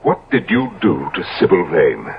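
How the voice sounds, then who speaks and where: Solemn, reproachful gentleman. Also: low voice, big pitch swings, normal speed, creaky, a little hiss or hum, exaggerated voice. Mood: grave, appalled. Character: grave, indignant gentleman, an appalled moral friend. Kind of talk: 1970s radio drama acting. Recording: tinny old radio recording.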